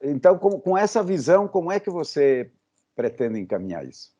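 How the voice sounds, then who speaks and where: A man working as an interviewer, putting his questions haltingly with pauses in mid-sentence. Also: fairly high pitch, very animated, warm tone, highs cut off. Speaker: interviewer for a magazine.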